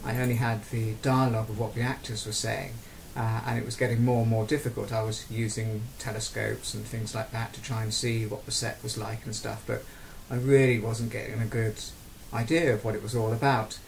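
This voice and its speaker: A man's voice: lowish voice, flat delivery, brisk, vocal fry, slight background noise, poor audio. A man telling a personal story to camera in a conversational way, as a theatre-goer. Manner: conversational, with fillers and restarts. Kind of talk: personal account told to camera.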